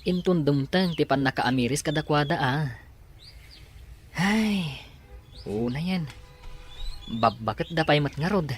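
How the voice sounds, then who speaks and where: A man's voice: a little high, animated, measured pace, long pauses, faint music behind. A man in an Ilocano radio drama playing a weary, reasonable husband and son. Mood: resigned.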